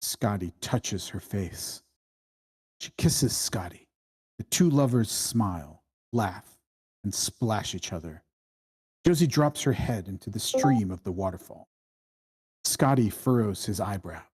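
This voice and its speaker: Husky, lowish-voiced man narrating a screenplay. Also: animated, slow pace, a little mellow. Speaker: narrator of stage directions. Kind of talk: scripted screenplay narration at a table read.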